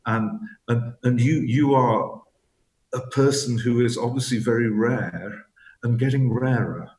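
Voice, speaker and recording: A man's voice: medium pitch, unhurried, darkish tone, echoey room. A man being interviewed as an author. He talks reflectively, often repeating words as he searches for the right phrase. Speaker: author guest.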